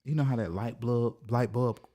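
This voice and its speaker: A man's voice: mid-range voice, normal speed, warm tone. A man in his thirties hosting a podcast, chatting casually and profanely, laughing often. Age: 30s. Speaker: podcast host. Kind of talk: casual group chat.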